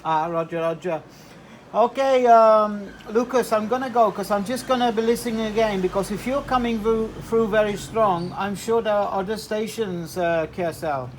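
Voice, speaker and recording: A man's voice: sits high, moderate pace. A man talking casually as a radio operator over a two-way link, hesitant and repeating words. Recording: slightly noisy two-way radio transmission.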